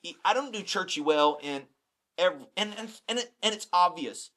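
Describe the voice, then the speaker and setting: A man's voice: sits high, animated, moderate pace, bright, forceful tone. A middle-aged man who is a pastor, talking candidly and bluntly in a casual podcast chat, often repeating words as he starts a thought. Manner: candid, blunt, self-deprecating.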